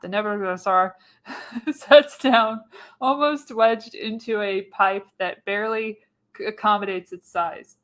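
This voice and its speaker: A woman's voice: medium pitch, fairly bright tone. Woman narrating a radio play script. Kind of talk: scripted narration of screenplay directions.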